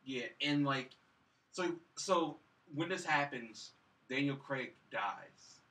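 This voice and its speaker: A man with a bright, medium-pitched voice chats casually on a review show. He rambles and sounds dismissive. He is picked up from a little way off the microphone. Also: slow pace. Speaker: co-host of a film review show. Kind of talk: casual chat, recapping and mocking. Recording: distant from the mic.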